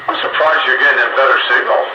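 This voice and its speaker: Through loud radio noise comes a man of medium pitch, slightly muffled and roomy. He chats casually as an operator hosting an on-air get-together. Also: steady tone, racing. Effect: two-way radio transmission.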